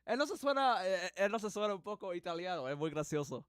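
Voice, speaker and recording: A man hosting a livestream, reacting excitedly and switching between English and Spanish, with English as his first language. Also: sits high, a little fast, clear, resonant tone, dry recording, clean studio sound. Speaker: livestream host. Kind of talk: casual reaction commentary.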